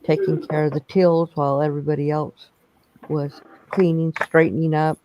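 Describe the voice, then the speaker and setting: A man's voice: on the high side, level tone, moderate pace, lo-fi sound. A man chatting casually on a bingo stream, rambling through stories with restarts. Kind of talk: casual chat.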